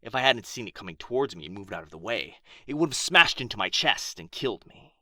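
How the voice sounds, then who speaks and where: A man acting a proud, wounded, menacing dragon in an ASMR roleplay, taunting theatrically with drawn-out words and whispers. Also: fairly low pitch, sing-song, bright, forceful tone, no room sound, exaggerated voice. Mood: amused, pained. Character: proud, menacing, wounded male dragon guarding his gold.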